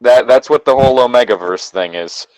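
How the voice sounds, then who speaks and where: A man chatting casually and rambling through halting asides as he co-hosts a read-aloud show over a voice call. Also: medium pitch, dry recording, rough recording. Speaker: co-host of a fan fiction reading show. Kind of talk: casual banter and commentary while reading aloud. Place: dry, close setup on a remote voice call.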